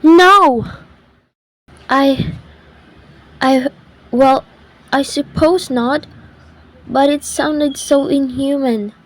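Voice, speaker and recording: A fretful, self-pitying housewife played by a woman in a radio thriller. Her voice sits high and she speaks slowly, with an insistent, haughty edge, as someone used to being waited on. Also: no room sound, low-quality recording. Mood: rattled, pleading. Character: anxious, demanding, self-pitying invalid housewife phoning the police. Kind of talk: old-time radio thriller acting.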